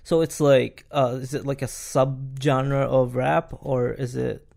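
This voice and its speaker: A man hosting a podcast interview, asking questions in a halting way with many fillers and restarts. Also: mid-range voice, level tone, gravelly, darkish tone. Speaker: podcast host. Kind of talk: casual interview chat.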